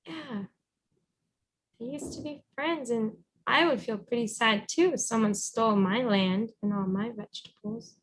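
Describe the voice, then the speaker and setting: A woman reading a storybook aloud as a teacher, encouraging and pausing to guide with questions. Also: fairly high pitch, a little hiss or hum, poor audio. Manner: encouraging, instructive.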